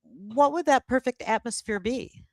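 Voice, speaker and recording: A woman's voice: a little high, unhurried, no room sound, clean studio sound. A woman hosting a casual livestream event as its publisher, speaking informally to a guest and viewers. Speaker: host, publisher.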